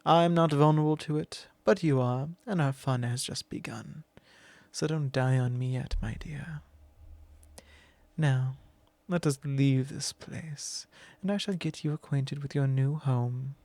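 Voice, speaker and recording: A man's voice: a little mellow, dry recording. A man playing a whispering possessive vampire lord. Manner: slow, low and intimate, with frequent whispers. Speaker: ASMR roleplay performer. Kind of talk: ASMR roleplay.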